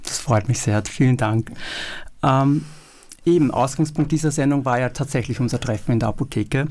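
A man hosting a radio interview show, reading a prepared introduction in a calm, measured way. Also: mid-range voice. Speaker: radio host. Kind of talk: scripted radio moderation. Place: radio studio.

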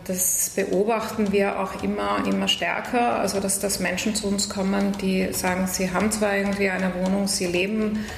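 A woman with a gravelly, flat voice gives an interview answer and searches for her words as she goes. The sound is roomy, with a long reverb tail around her. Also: mid-range voice, moderate pace, noisy background. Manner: searching, with fillers and restarts. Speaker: interviewee from a support organization.

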